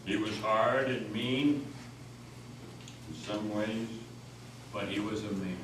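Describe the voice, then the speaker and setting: A man's voice: lowish voice, slow pace. A man giving an impassioned, oratorical reading of a scripted dramatic story as narrator. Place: old meeting house, live performance. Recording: very echoey hall, distant mic, faint noise.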